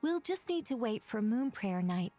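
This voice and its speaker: A woman's voice: a little high, sing-song, normal speed, warm tone, soft background music. A woman voicing an eager young scholarly mystic in an anime-style video game, wordy and flustered, trailing off and correcting herself. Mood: absorbed, explaining.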